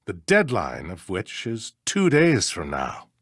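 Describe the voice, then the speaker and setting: An actor playing a pompous, grumbling older small-town judge with an American accent in a video game, in a blustery, theatrical manner. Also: male voice, fairly low pitch, huge pitch swings, slow pace, a bit bright, no echo, studio quality, exaggerated voice. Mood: solemn, explanatory. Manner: blustery, theatrical, throat-clearing, self-important.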